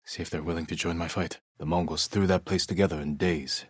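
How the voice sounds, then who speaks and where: An actor playing a grave, honor-bound young samurai lord in a video game, speaking in a measured, restrained way with short, solemn sentences. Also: male voice, low-pitched, fairly even intonation, breathy edge, dry recording, studio quality. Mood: hushed, tense.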